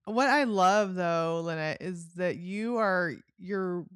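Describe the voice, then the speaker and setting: A woman's voice: lowish voice, slow, a bit bright, smooth, no echo, clean studio sound. A middle-aged woman hosting a podcast in a casual, enthusiastic and encouraging way. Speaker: podcast host. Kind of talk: casual interview chat.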